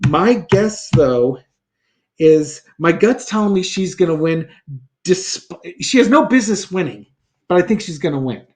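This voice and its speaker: High-voiced man musing through a tarot reading. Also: expressive, measured pace. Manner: musing, thinks aloud with many fillers and restarts. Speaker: tarot reader. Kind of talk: casual tarot reading chat.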